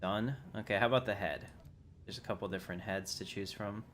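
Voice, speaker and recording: Low-voiced man streaming a game casually. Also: fairly quick, a bit bright. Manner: informal, thinking aloud while playing. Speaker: livestreamer, game player and channel host. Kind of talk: casual livestream gameplay commentary. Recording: faint noise, keyboard typing.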